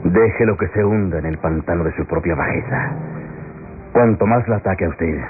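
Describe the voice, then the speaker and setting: An actor playing a calm, steadying ally in a classic radio drama, speaking in a measured, firm, hushing way. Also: male voice, low voice, lightly acted. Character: calm, steadying male ally counselling the accused woman. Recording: tinny old radio, music behind.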